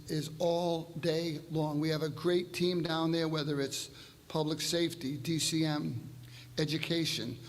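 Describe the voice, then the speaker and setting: Brief: older male mayor, higher voice, flat even delivery, hall reverb. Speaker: incumbent mayor. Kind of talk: candidate forum speech. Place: church hall forum.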